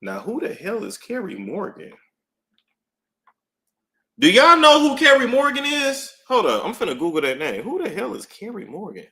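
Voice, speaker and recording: Animated man streaming in an echoey room. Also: sits high, normal speed. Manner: animated, emphatic, repeats phrases for effect. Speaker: live streamer commenting on a court case. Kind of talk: casual live-stream commentary. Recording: roomy echo.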